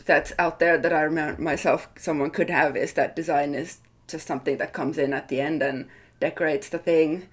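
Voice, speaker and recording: A woman's voice: low voice, fairly even intonation, fairly quick, husky. A woman speaking as a design leader in an interview, reflective and careful to qualify what she says. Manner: thoughtful, hedging, self-qualifying.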